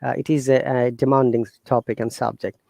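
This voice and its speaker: Man, Bangladeshi accent, mid-pitched voice, dry close sound, earnest halting delivery. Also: creaky, no echo. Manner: earnest, animated, halting with fillers and restarts. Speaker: guest teacher. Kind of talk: casual interview talk.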